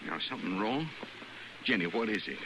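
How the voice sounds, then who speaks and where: An American actor playing an earnest, bewildered soldier husband, speaking haltingly in a radio western. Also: male voice, fairly low pitch, animated, lightly acted. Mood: bewildered, hurt. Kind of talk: 1950s radio western acting. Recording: noisy old-time radio recording.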